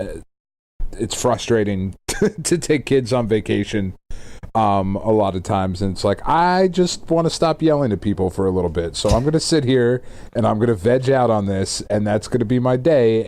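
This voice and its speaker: A man's voice: on the low side, normal speed, dark tone. A middle-aged man co-hosting a podcast, talking casually about games. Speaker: podcast co-host. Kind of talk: casual gaming podcast chat.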